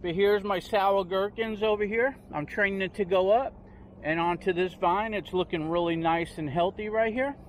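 A man's voice: sits high, slight background noise, low-quality recording. A man giving a casual, rambling tour of his backyard garden, recorded outdoors on a handheld phone. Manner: rambling, unhurried, points things out as he walks.

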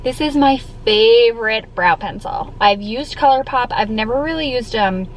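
A woman's voice: on the high side, lively intonation, normal speed, a little hiss or hum, poor audio. Chatty young woman vlogging from her car. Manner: chatty and upbeat, with fillers. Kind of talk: casual vlog chat. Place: inside a parked car. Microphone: camera held at arm's length.

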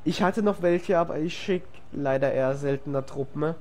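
A man's voice: a little high, brisk, a little mellow. A man with a cold, casually narrating a replay of his strategy game match, rambling with many fillers and self-corrections. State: has a cold, coughs. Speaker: gamer commenting on a replay. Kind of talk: casual gameplay voice-over commentary. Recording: noisy home recording.

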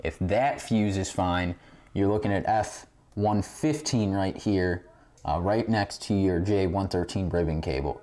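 A man's voice: low voice, measured pace, darkish tone. A man giving a casual hands-on repair tutorial as host, recorded indoors on a camera mic a short distance away. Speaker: host of pinball repair videos. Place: indoor game room among arcade machines. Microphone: camera on tripod, a short distance away. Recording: camera mic with clear background noise, clicks.

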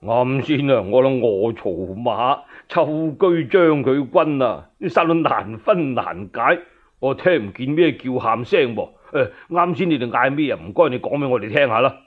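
A man with a Cantonese accent playing a nonchalant, fearless household steward in a radio story, unhurried and offhand. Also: mid-range voice, lively intonation, voice pushed down, close to an everyday voice. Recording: dull lo-fi radio recording.

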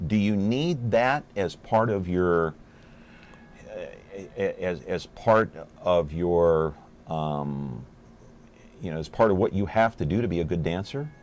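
A man's voice: deep voice, darkish tone, a little hiss or hum. A man in his fifties hosting a local TV talk show, chatty and upbeat as he interviews a guest in the studio.

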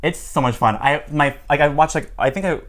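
A man's voice: fairly high pitch, fairly bright tone. A young man with a lisp hosting a casual dinner chat, chatty and full of fillers, on a lapel mic in a small room. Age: young adult. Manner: fast, chatty, many fillers. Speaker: host. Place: small indoor room at a dining table. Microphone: clip-on lapel mic.

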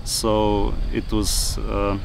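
Lowish-voiced Slovenian man in his thirties. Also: completely flat delivery, very slow, creaky, far from the mic, a little hiss or hum. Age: 30s. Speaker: festival attendee interviewee. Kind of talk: interview testimony. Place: outdoors on a grassy lawn.

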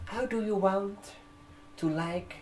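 A man in his twenties doing a soft-spoken ASMR barbershop roleplay, speaking haltingly in short phrases. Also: sits high. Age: 20s. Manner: slow, halting, hushed delivery with short phrases. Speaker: ASMR performer playing a barber receptionist. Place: indoor room, seated at a desk. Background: computer keyboard typing and clicks.

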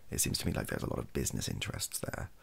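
A British man in his thirties speaking softly in an improvised, rambling monologue, halting often and correcting himself as he goes. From United Kingdom. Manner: hesitant, halting, self-correcting. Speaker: ASMR video creator. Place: small indoor home room.

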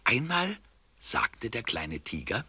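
A man's voice: mid-range voice, huge pitch swings, slow pace, very noisy. A man narrating a scripted children's story.

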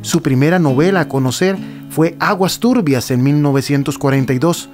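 Higher-pitched male presenter. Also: moderate pace, a little mellow. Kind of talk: scripted biographical narration. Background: guitar music.

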